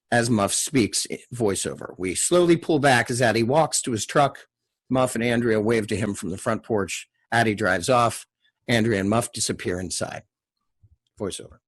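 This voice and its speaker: Male stage-directions reader for a script read; medium pitch, slightly rough voice. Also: moderate pace. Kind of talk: scripted screenplay narration.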